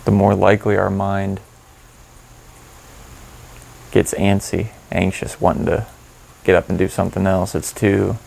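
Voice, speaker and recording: A man leading a guided meditation in an informal, candid and gently instructive way. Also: deep voice, level tone, moderate pace, gravelly, a little hiss or hum, low-quality recording.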